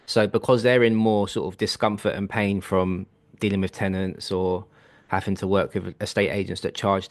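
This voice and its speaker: A man coaching clients on a video call, explaining steps in a relaxed, reassuring way. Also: sits low, no echo. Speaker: property business coach.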